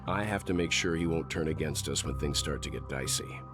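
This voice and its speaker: A man voicing a calm, cautious, mature gentleman mentor in a video game. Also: rumbling low, brisk. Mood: serious, measured. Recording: game music behind.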